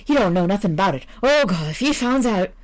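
A woman's voice: medium pitch, expressive, fast, pitched above the performer's own voice, natural voice. A woman voicing a desperate, terrified male gambler who stammers and babbles his pleas. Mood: panicked pleading.

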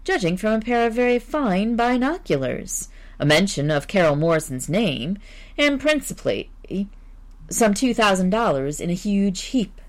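A woman voicing a suave, calm male amateur detective who reasons things out deliberately. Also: medium pitch, voice pushed up, close to an everyday voice. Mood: calm reasoning.

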